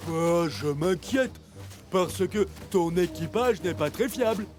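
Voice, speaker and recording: Sly sneering cartoon sidekick. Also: male voice, high voice, lively intonation, slow, a little mellow. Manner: mocking, sneering laughs. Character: sly teasing villain critter, sneaky sidekick. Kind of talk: French cartoon dubbing. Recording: music under the voice.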